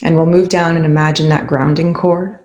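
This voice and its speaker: A meditation instructor leading a guided session in a soothing, gentle, unhurried way with long pauses. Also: medium pitch, flat delivery, echoey room, lo-fi sound.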